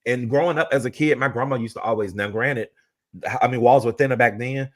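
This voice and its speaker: A man with a deep voice hosting a casual chat, talking in a laid-back, rambling way. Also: a little fast. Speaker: host.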